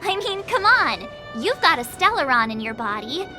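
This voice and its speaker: A bubbly young game heroine with an extremely high, bouncy voice. She is cocky and sassy, and music plays clearly behind her. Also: big pitch swings, normal speed. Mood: confident, breezy. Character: perky, cocky teenage girl adventurer with ice powers. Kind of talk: video game voice acting.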